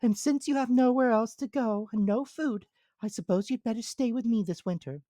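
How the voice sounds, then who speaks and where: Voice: mid-range voice, moderate pace, dark tone, no room sound, studio quality, voice pushed up, natural voice. A storyteller voicing a diligent, sensible female ant in a storybook fable, earnest, firm and kindly. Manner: earnest, firm, matter-of-fact. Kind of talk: dramatic storybook character reading.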